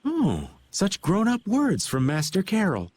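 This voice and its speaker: A man's voice: sits high, wildly swooping pitch, unhurried, darkish tone, full character voice. A man voicing a playful, world-weary older man in a video game dub, with a teasing, theatrical, laid-back manner. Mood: teasing, kindly.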